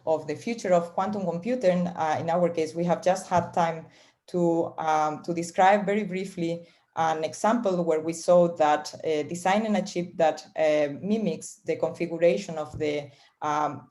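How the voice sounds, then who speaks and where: A woman's voice: fairly low pitch, mellow. Hesitant woman closing a conference talk. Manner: hesitant, many fillers. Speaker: conference speaker. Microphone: video call.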